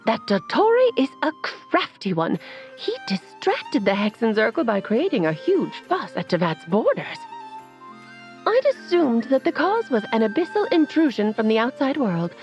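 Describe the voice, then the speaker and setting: A knowing, mysterious advisor. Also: female voice, fairly high pitch, big pitch swings, moderate pace, darkish tone, muffled recording, soft background music. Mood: explaining. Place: studio.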